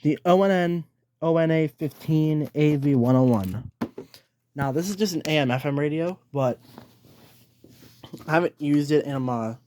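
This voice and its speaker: A man's voice: on the high side, moderate pace, long pauses, a little mellow, a little hiss or hum. A man chatting casually as he hosts a hobby video, informal and hesitant, thinking aloud. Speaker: hobbyist video host showing a radio collection. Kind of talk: casual vlog chat.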